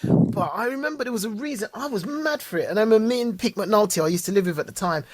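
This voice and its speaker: High, husky-voiced man chatting excitedly. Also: fast talker, dry recording. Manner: excitable, laughs often, repeats words. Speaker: podcast co-host. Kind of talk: casual chat.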